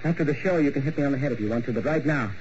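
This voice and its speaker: An actor playing a tormented, unstable young ballet dancer in intense 1940s radio melodrama. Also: male voice, mid-range voice, rapid-fire, a little mellow, natural voice. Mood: evasive, tense. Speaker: actor in a mystery radio drama. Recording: muffled old radio recording, faint noise.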